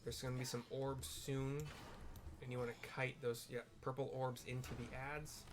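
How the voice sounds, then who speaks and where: A man's voice: mid-range voice, level tone, normal speed, background noise. A man giving casual, rambling gameplay commentary, explaining and describing what happens as he plays. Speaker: video game streamer and narrator.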